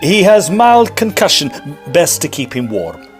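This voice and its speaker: A woman plays a sensible, fussing Victorian traveller in a comic audio drama. Her voice is low with lively inflection, earnest and old-fashioned in manner. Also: unhurried, music in the background. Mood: practical, pleading. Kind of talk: period farce audio acting.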